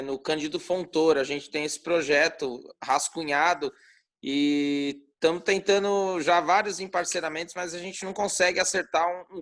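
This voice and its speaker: A Brazilian club member asks a guest a few questions during a meeting. His voice is a little high, and he speaks haltingly, often stopping and starting over. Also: a bit bright. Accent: Brazilian Portuguese. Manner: halting, slow with restarts. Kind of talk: casual meeting talk.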